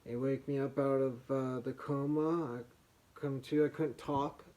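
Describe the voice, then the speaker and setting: A man with a soft voice from slightly damaged vocal cords, telling a personal story as a vlogger in a halting way, with pauses and restarts. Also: medium pitch, flat delivery.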